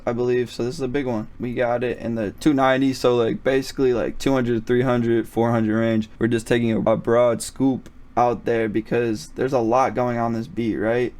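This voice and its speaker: A man with a thin, raspy voice at an average pitch talks through a mixing tutorial. He is casual and slangy, swears freely and keeps checking that the listener follows. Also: darkish tone. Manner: slangy, profane, often checks with "right?". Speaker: music producer giving mixing tutorial.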